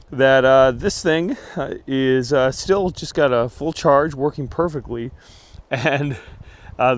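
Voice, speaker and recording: A man giving a casual outdoor gear review, speaking in a halting way as he thinks aloud. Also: medium pitch, no room sound.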